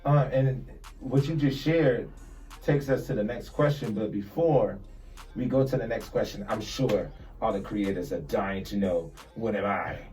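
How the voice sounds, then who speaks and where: A man's voice: fairly low pitch, unhurried, mellow, distant mic, soft background music, rough recording. A man hosting a podcast panel who keeps chiming in to affirm and encourage the other speakers. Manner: affirming, encouraging, chimes in often. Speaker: podcast host. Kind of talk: casual panel discussion.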